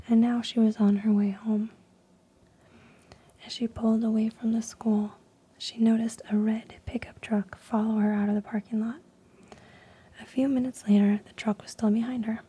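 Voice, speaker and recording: A woman reading stories in a soft unvoiced ASMR whisper, slow and hushed with frequent ums, while losing her voice. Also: a little high, slightly rough. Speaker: ASMR creator.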